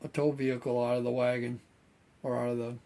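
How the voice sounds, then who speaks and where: A man, hosting his model-building channel in a relaxed, rambling way, slightly hoarse as he is losing his voice. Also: medium pitch, a little fast. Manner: relaxed, rambling, many fillers. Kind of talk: casual chat to viewers.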